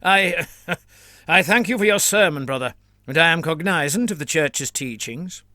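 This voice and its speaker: A man's voice: on the high side, normal speed, pushed and bright, dry recording, clean studio sound, pitched above the performer's own voice. A man voicing a stern, zealous senior priest who preaches with earnest insistence. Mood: urgent. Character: stern zealous male priest, pleading for war.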